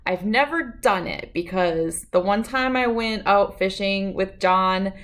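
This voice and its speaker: A woman's voice: mid-range voice, a little slow, fairly bright tone. A woman hosting a read-aloud, telling a children's storybook.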